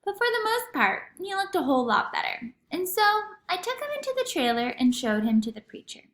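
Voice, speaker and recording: Female children's read-aloud narrator, high voice, expressive storybook swing, roomy recording. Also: brisk. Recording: echoey room.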